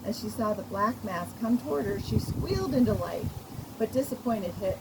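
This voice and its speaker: A woman, a children's author, reading a storybook aloud in an expressive, amused way. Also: mid-range voice, moderate pace. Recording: noisy recording with loud background noise.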